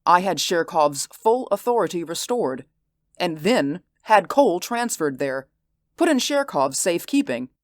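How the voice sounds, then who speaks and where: Male narrator doing a high, quiet, steely woman leader, composed and hard. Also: very animated, unhurried, no room sound, clean studio sound. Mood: stern. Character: cool, commanding older woman council leader.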